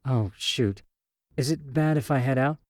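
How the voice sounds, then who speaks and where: A man voicing a friendly, lively young woman who talks in a chatty, slightly hurried way. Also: medium pitch, dry recording, studio quality, pitched above the performer's own voice, lightly acted. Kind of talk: audio drama short story reading.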